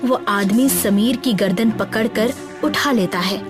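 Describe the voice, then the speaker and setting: A woman's voice: fairly high pitch, a little slow. A woman reading a scripted, dramatic story as its narrator. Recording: music bed behind the voice.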